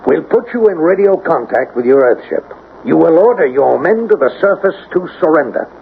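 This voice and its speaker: An American actor playing a firm, skeptical army general in a radio drama, with brisk, authoritative military delivery on an old broadcast. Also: male voice, fairly high pitch, expressive, vocal fry. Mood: stern, threatening. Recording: noisy, narrow-band old radio broadcast.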